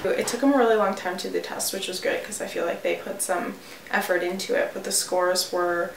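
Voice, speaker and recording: A woman in her early twenties speaks as a new teacher. Her voice has noticeable fry. She sounds distant in a roomy space with faint noise. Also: medium pitch, fairly even intonation, fairly quick. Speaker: teacher in training. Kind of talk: personal account to camera.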